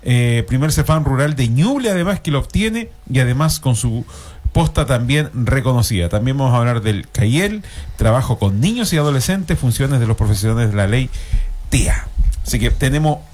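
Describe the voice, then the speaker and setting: A man's voice: mid-range voice, expressive, moderate pace, darkish tone. A man with a Chilean accent hosting a community health radio panel, speaking formally with frequent fillers. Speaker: radio host.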